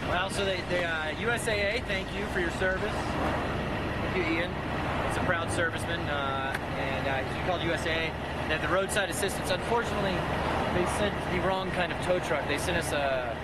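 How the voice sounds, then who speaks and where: A man's voice: high-pitched, a little fast. An American man talking casually as a travel vlogger, laid-back and upbeat about setbacks. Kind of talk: casual travel vlog talk and narration. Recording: loud music, vehicle and outdoor noise.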